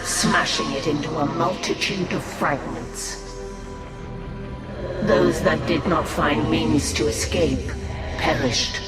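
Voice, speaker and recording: Alien seeress, game cinematic, slow, solemn, commanding, portentous, echoey, loud music. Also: female voice, deep voice, distant mic. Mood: solemn narration. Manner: grave, portentous, formal. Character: solemn, commanding alien seer, female, fateful and proud. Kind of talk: video game cinematic acting.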